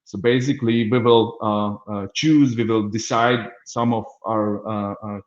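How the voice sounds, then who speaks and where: A man's voice: lowish voice, fairly even intonation, unhurried, reverberant, highs cut off. A man with a Hungarian accent opening a business webinar on a video call, speaking hesitantly with many fillers and restarts. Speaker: business development manager. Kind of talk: webinar introduction.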